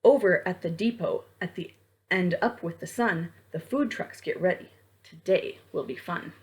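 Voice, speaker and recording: A woman's voice: lowish voice, moderate pace, slight background noise. A woman leading a children's storytime as a librarian, reading aloud and speaking directly to young listeners with prompts and questions.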